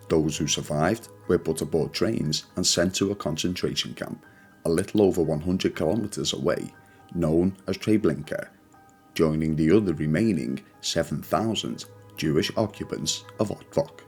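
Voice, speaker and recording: A man hosting a channel and reading scripted ghost stories in a measured, solemn way. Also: very deep voice, gravelly, darkish tone, breathy edge. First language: not Polish; speaks English. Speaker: channel host and narrator. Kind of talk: scripted ghost story narration.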